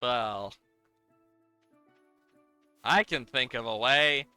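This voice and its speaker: A man live-streaming a game, chatting casually with viewers in an animated, exclamatory way and sometimes swearing. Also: medium pitch, drawn-out words, clean tone, no echo, soft background music.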